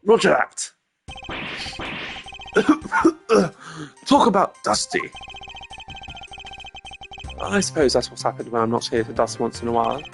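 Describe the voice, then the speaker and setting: A man voicing an excitable, loyal male detective who talks loudly and keeps calling his boss sir. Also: mid-range voice, takes it slowly, gravelly, long pauses, full character voice. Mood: eager. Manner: eager, loud, calls everyone sir. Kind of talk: live-stream game voiceover. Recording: game music behind voice. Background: game music.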